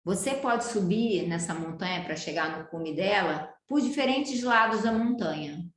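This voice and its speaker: A woman with a Brazilian accent teaching a yoga class over a video call. She talks in an animated way, often turning to her students with questions. Her voice sounds roomy, as if she is speaking in an echoing space. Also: medium pitch, moderate pace. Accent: Brazilian Portuguese. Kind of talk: live online class lecture. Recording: roomy echo.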